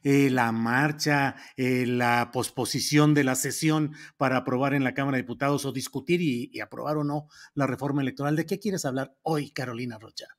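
A middle-aged Mexican man hosting a political chat show, making quick sardonic asides over a video call from a home office. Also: medium pitch, steady tone. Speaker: host.

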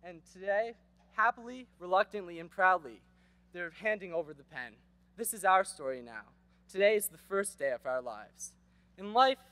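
A teenage boy delivers a graduation speech into a podium microphone on an outdoor stage. His voice sits high and the sound is dry and close. He is earnest and rhetorical, with wry asides. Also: a bit bright, no echo. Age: teens. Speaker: graduation speaker. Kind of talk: prepared commencement speech.

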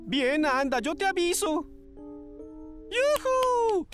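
High-voiced flamboyant agency boss. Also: male voice, very animated, slow, a bit bright, faint music behind, heavily acted. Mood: excited, self-important. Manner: theatrical, gushing. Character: flamboyant, excitable young modelling agency boss. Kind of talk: animated series dubbing.

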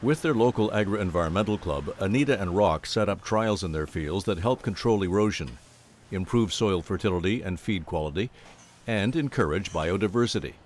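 A man giving scripted documentary narration in an even, measured way. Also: low voice, a little hiss or hum.

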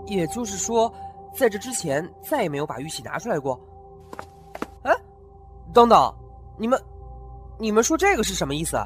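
Man voicing a young heir, higher voice, lively swings in pitch, restless, questioning, audio drama. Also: moderate pace, pauses often, faint music behind. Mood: curious. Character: curious, impatient young heir of a noble family. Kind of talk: fan audio drama acting.

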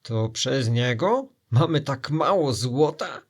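A man's voice: mid-range voice, lively intonation, husky. A man voicing greedy, dim, gullible pirates in a storybook, clamouring eagerly. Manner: clamouring, slow-witted, eager.

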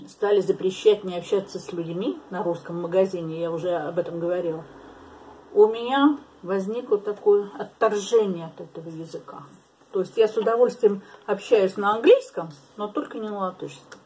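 A woman's voice: mid-range voice, moderate pace, warm tone, husky, poor audio. An older woman from Latvia, interviewed in a small living room and speaking frankly, with laughing asides, to a camera across the table. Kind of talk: casual interview.

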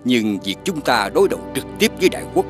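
A man acting a respectful cartoon court adviser with a mid-pitched, very expressive voice. He is formal and cautious, with music playing clearly behind. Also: fast talker, close to an everyday voice. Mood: worried, deferential. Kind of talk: animated fairy tale acting.

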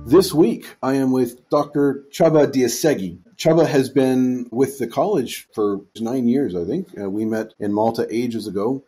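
A man hosting a podcast interview, speaking in a relaxed, conversational way. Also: mid-range voice, measured pace, hardly any pauses, breathy edge. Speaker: podcast host.

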